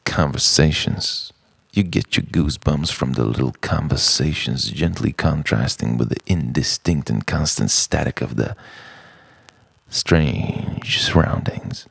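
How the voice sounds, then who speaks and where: A man delivering a spoken-word poetry monologue in a theatrical, halting way, moving between hushed murmurs and louder lines and repeating words like a refrain. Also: a bass voice, gravelly, breathy edge, no echo. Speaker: poet, spoken-word performer.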